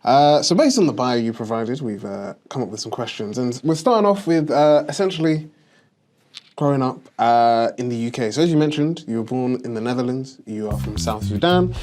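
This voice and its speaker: A man hosting a student podcast and introducing guests. His voice sits at an ordinary middle pitch. He speaks upbeat and friendly, with frequent "uh" fillers between thoughts. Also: animated, brisk, darkish tone. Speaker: podcast host.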